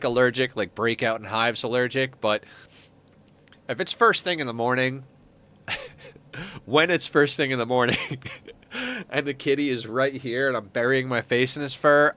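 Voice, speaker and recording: A man's voice: mid-range voice, lively intonation, normal speed, pushed and bright. A man with a stuffy, congested nose giving casual gameplay commentary as a streamer, muttering to himself and mocking himself with frequent chuckles. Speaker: game streamer.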